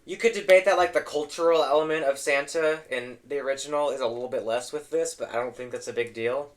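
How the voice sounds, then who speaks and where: A young man hosting a casual tier-list ranking, his voice going hoarse, opinionated and trailing off into low mumbles. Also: fast talker. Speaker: host.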